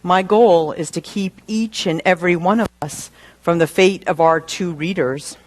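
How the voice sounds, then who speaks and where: A woman minister giving a sermon in a deliberate manner, with dramatic pauses. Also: on the low side.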